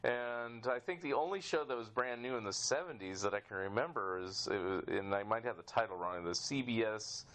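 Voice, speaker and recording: Low-voiced middle-aged man, rambling chat. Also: brisk, few pauses, a bit bright. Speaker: podcast host. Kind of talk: casual chat interview.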